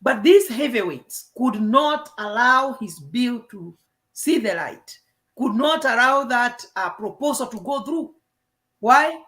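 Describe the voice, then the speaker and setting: A woman's voice: a little high, expressive, takes it slowly, a bit bright. A Kenyan woman with a Kenyan accent in an earnest political discussion, mixing English and Swahili. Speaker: panelist.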